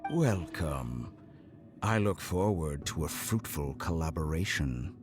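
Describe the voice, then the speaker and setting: A man's voice: a bass voice, lively intonation, slow, full character voice. A man voicing a cold, sinister scientist villain in a video game, formal and menacingly courteous, over music. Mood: smug. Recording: music under the voice.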